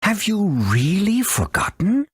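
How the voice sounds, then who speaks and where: A man voicing a fussy, caring elderly owl with a raspy voice and theatrical delivery in a video game. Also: sits high, wildly swooping pitch, slow, no echo, clean studio sound, exaggerated voice. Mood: grave, sorrowful. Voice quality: raspy, creaky.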